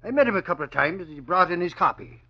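An actor playing an excitable, boastful newspaper reporter with an Irish accent and folksy bluster, in old-time radio style. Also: male voice, sits high, very fast. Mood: puzzled, earnest. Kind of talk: 1940s radio acting. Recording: dull vintage radio recording.

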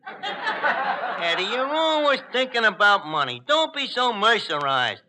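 An actor playing a brash, chummy, uneducated New York tavern manager in a 1940s radio comedy, with slangy, hesitant bluster and mangled words. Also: male voice, very high-pitched, very animated, unhurried, exaggerated voice. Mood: chummy, self-important. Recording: noisy old 1940s radio broadcast recording.

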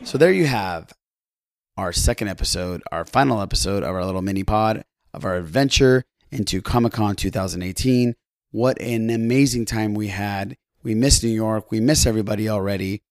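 A man's voice: fairly low pitch, normal speed, no echo. A man hosting a podcast, giving an appreciative, conversational sign-off to listeners. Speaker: podcast host. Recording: dry, close, faint music behind. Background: faint music.

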